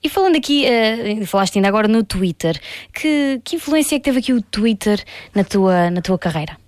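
A woman's voice: medium pitch, expressive, fairly quick, no echo, studio quality. A woman with a European Portuguese accent hosting a music interview on the radio. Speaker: radio host.

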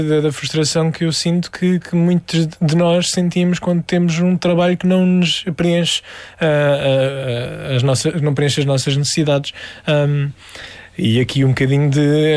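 A man's voice: on the high side, darkish tone, no room sound. Hesitant young Portuguese man. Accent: European Portuguese. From Algarve, Portugal. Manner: thoughtful, many hesitations and restarts. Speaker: musician guest. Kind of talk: casual interview.

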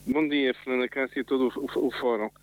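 A man calls a radio forum and sets out his view in an argumentative, measured way. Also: medium pitch, steady tone, low-quality recording. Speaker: radio caller. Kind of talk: call-in opinion.

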